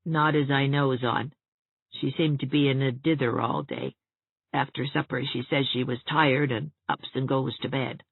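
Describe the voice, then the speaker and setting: A woman voicing a very old, slow-witted housekeeper in an audiobook, stolid and plodding, with folksy rustic grammar. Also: a bass voice, steady tone, creaky, breathy edge, no room sound, full character voice. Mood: stolid, unbothered. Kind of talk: audiobook character voice. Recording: thin phone-like narrow-band sound.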